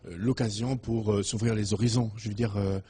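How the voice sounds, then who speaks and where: A man hosting a television studio interview, speaking with halting phrasing. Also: fairly low pitch, fairly even intonation, warm tone, breathy edge.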